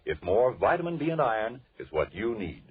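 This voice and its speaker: A deep-voiced man announces in vintage radio style. His delivery is dramatic and animated, with a very husky tone, heard through a narrow, tinny old recording. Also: a little fast. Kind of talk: scripted 1940s radio announcing and narration.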